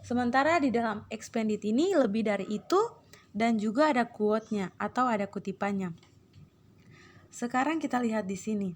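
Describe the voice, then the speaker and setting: A woman teacher with an Indonesian accent, hoarse from a cold, reading English captions slowly and explaining them, clearing her throat often. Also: a little high, expressive, a little hiss or hum. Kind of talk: online English lesson, reading then translating.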